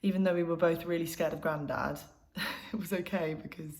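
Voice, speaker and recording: A woman's voice: fairly low pitch, fairly even intonation, normal speed, lightly acted. A young woman acting an intimate, conversational monologue as a troubled granddaughter confiding in her mother. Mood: fond, nostalgic. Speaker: actor performing a self-written monologue.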